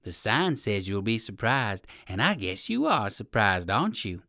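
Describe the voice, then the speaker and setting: A storyteller voicing a sly, wicked owl with slow, drawn-out, sarcastic menace in a children's storybook. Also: medium pitch, big pitch swings, sharp tone, no room sound, studio quality, voice pushed down. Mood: sarcastic, gloating.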